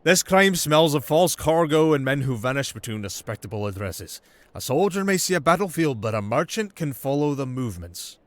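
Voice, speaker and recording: A smooth-tongued, scheming marquis, acted out by a man for an audio drama. He speaks in a raised, courtly, insinuating voice full of veiled menace. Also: fairly high pitch, big pitch swings, normal speed, no room sound, pitched above the performer's own voice. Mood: oily, insinuating. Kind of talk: ASMR audio roleplay acting.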